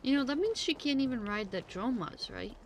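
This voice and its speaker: A woman's voice: fairly high pitch, very animated, brisk, music in the background. A woman streaming a game, giving casual, offhand commentary as if half talking to herself.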